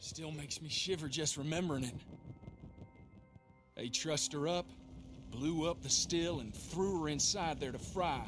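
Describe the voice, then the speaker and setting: An actor playing a haunted, bitter Southern outlaw moonshiner, telling a grim tale for a game cutscene. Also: male voice, fairly high pitch, measured pace, pauses often, lightly acted. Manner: grim, folksy storytelling. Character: haunted, bitter male outlaw moonshiner, grimly recalling wrongs. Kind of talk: cinematic game monologue. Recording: loud music under the voice.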